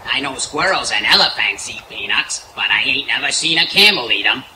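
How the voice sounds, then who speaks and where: A man playing a gruff, good-hearted sailor with a gravelly growl and mangled grammar, in old-time radio comedy style. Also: mid-range voice, lively intonation, normal speed, pushed and bright, a little hiss or hum, low-quality recording. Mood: amused, boastful. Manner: salty, ungrammatical sailor slang. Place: outdoor stage in woods. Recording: live outdoor stage, music behind.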